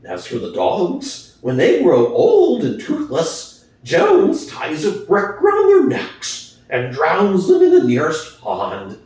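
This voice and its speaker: An aged boar, performed by a man, speaks like a revolutionary orator, his voice leaping high and low as he drives each point home. He speaks slowly and deliberately. The reading comes from a far-off mic in a very echoey room. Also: wildly swooping pitch, a little mellow, exaggerated voice. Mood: rousing. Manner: impassioned speechmaking, rhetorical questions, swelling emphasis. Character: old prize boar, wise, rousing revolutionary orator. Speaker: reader. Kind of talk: dramatic audiobook reading.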